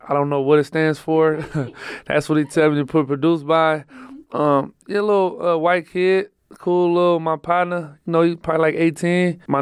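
Laid-back rapper with a smooth voice. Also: male voice, a little high, no echo, clean studio sound. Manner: laid-back, rambling, slangy speech. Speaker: rapper guest. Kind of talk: casual interview storytelling.

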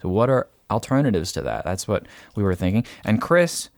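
A man hosting a casual podcast, reading out a listener's letter and talking thoughtfully with many pauses and fillers. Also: on the low side, big pitch swings, no echo. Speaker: podcast host.

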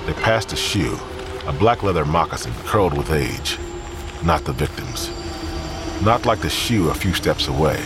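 A man narrating a crime drama in a grave, measured tone. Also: very deep voice, lively intonation, background noise. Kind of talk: scripted narration.

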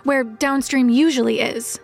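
A woman's voice: sits high, fairly even intonation, measured pace, vocal fry, soft background music, exaggerated voice. A woman playing an eager but skeptical, orderly young job applicant in an audio drama. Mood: matter-of-fact. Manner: judgy, hesitant. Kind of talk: audio fiction dialogue.

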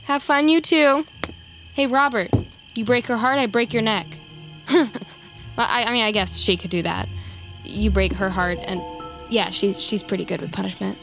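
A woman's voice: medium pitch, moderate pace, gravelly, long pauses, over music, lightly acted. A woman playing an awkward, chatty sidekick who rambles with wry, self-deprecating humour in an audio drama. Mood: awkward, protective. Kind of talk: naturalistic audio drama acting.

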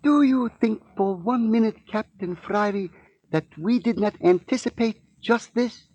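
A menacing East Indian smuggler in a 1940s radio serial. His voice is high and husky, dryly recorded, with deliberate, formal and taunting phrasing. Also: darkish tone, no room sound, muffled recording. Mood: smug menace. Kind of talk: 1940s radio drama acting.

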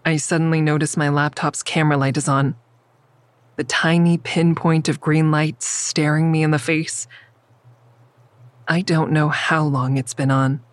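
A woman's voice: deep voice, flat delivery, unhurried, dry recording. An actress playing an uneasy young woman narrating her own story in a hesitant, confiding way in an audio drama. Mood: creeped out. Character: uneasy young female first-person narrator in over her head.

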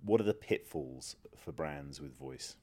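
A very deep male voice, recorded close and dry. He hosts a podcast, reading prepared narration and putting measured questions to guests. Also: lively intonation, mellow, dry recording. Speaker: podcast host, interviewer. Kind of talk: scripted narration and interview questions.

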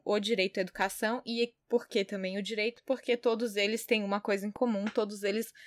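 A woman's voice: mid-range voice, a bit bright, dry recording, clean studio sound. A woman with a Brazilian Portuguese accent presenting a podcast as co-host. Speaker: podcast co-host, education campaign coordinator.

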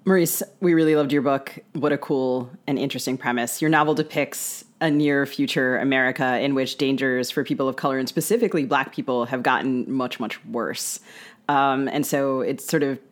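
A woman's voice: very deep voice, fairly even intonation, moderate pace. A woman co-hosting a podcast, putting questions to a guest in a conversational way. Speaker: podcast co-host.

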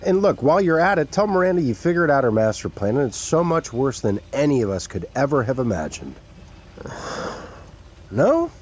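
An actor playing a pragmatic, persuasive tech executive in a naturalistic audio drama. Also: male voice, mid-range voice, very animated, normal speed, a little mellow, husky. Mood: exasperated, placating. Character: pragmatic, placating male tech executive and friend.

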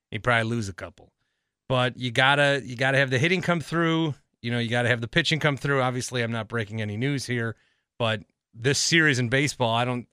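Bright, clear American male podcast host. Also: mid-range voice, dry recording, studio quality. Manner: conversational, rambling, thinking aloud. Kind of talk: casual solo podcast talk with ad reads.

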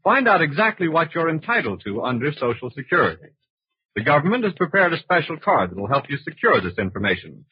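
1940s radio pitchman, very raspy, swings up and down a lot, polished sales talk. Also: sing-song, a little fast, no room sound. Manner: emphatic, polished salesman delivery. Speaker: radio announcer and sponsor spokesman. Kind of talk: 1940s scripted radio announcing and advertising. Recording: muffled old radio broadcast recording.

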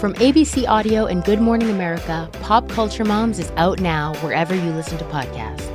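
A woman's voice: lowish voice, expressive, brisk, music in the background. A woman co-host reading a scripted podcast promo in a chirpy, playful way.

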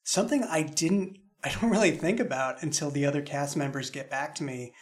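A man's voice: on the high side, a little fast. A man hosting a conversational podcast roundtable as the show's creator. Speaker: host, show creator.

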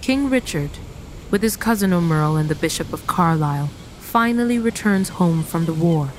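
A woman's voice: fairly low pitch, animated, moderate pace, slight background noise, low-quality recording. A woman narrating scene links in a plain, explanatory way. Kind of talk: scripted narration.

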